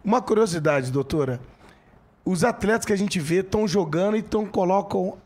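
Brief: energetic middle-aged Brazilian host, slightly high voice, studio handheld mic. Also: male voice, moderate pace. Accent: Brazilian Portuguese. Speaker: podcast host. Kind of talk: casual podcast talk with live ad reads. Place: podcast studio.

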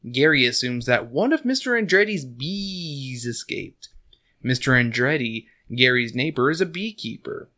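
Slightly hoarse guy with a bright sharp voice rambling on a podcast, laughs a lot, desk mic at home. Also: medium pitch, lively intonation, normal speed. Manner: laughing often, rambling with lots of "like". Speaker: podcast host. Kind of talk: casual chat and book recap. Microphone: desk condenser mic.

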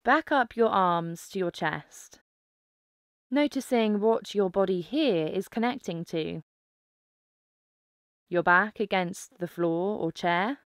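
Slow, smooth-voiced woman guiding meditation. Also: mid-range voice, dry recording, studio quality. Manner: calm, instructive, unhurried with pauses. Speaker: guided meditation instructor. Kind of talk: guided mindfulness meditation narration.

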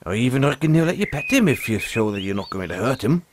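A man playing a gruff but friendly forest dragon tamer, talking in a folksy way straight to the listener in an audio roleplay. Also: mid-range voice, sing-song, fast talker, slight background noise, natural voice. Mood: friendly, explaining. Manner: folksy, teasing, calls the listener lad.

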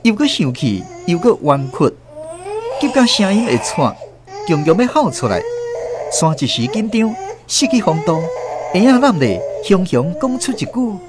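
A woman with a Taiwanese accent narrating a radio story in an expressive, dramatic storytelling manner. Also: on the low side, normal speed.

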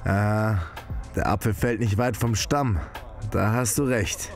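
A man's voice: on the low side, slow pace, faint music behind. A man playing an easygoing, friendly father in an audio drama. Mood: jovial. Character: easygoing, friendly father chatting with old pal. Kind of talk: audio drama dialogue acting.

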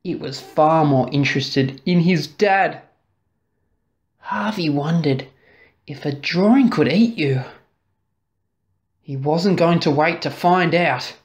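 A woman narrating a children's story expressively, dramatic and deliberate, drawing out key words. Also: deep voice, darkish tone. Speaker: storybook reader.

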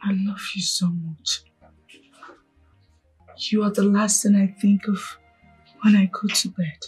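An actress playing an adoring, loyal, emotional wife in a film drama. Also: female voice, mid-range voice, steady tone, slow, slightly rough, low-quality recording. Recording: music behind the voice.

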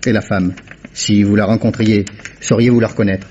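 A man's voice: lowish voice, little pitch movement, moderate pace, dark tone, a little hiss or hum, close to an everyday voice. An actor playing a persistent man hunting for a missing woman in a vintage radio drama, with a probing, insistent manner. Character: persistent male amateur investigator, Lombard. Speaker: lead in a radio play. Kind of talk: 1950s radio drama acting. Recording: dull old radio recording.

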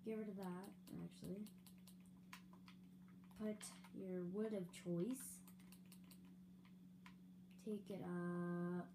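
A young woman chats slowly through a building game, giggling and trailing off between fillers. Her voice is slightly raspy, and a steady hum sits under the recording. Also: on the low side. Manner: chatty, giggly, rambling with fillers. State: slightly raspy, throat-clearing. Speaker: gaming video creator. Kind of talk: casual let's-play building commentary. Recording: audible hum behind voice.

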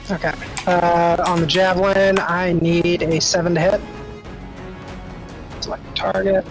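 A man's voice: high-pitched, little pitch movement, a little slow, over music. A man chatting casually as a player in a tabletop game.

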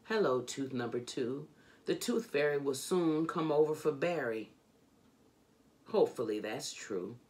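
A middle-aged woman reading a children's book aloud in an expressive, deliberate, emphatic storytelling manner. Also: a bass voice. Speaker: storybook reader. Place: indoors, furnished home room.

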